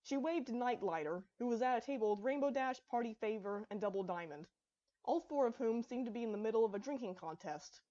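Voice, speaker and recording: A woman's voice: on the high side, lively intonation, fairly quick, dark tone, highs cut off. A woman reading her own fan fiction aloud as scripted story narration.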